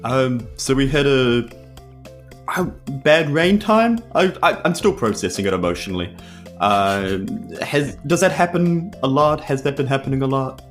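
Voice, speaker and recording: A man's voice: medium pitch, lively intonation, measured pace, faint music behind, natural voice. A man playing an earnest, polite, awkward young adventurer who speaks hesitantly. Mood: earnest, uncertain.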